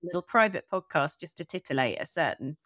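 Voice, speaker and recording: A woman's voice: deep voice, lively intonation, fast talker, fairly bright tone, no room sound. Woman podcast host, very dry recording. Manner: wordy, reflective questions with playful asides. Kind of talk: conversational interviewing.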